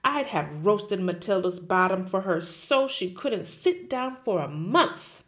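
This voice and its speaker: A woman voicing a huge, bullying headmistress who shouts, barks and sneers at everyone. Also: medium pitch, lively intonation, slow. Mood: blustering. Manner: shouting, barking, scornful.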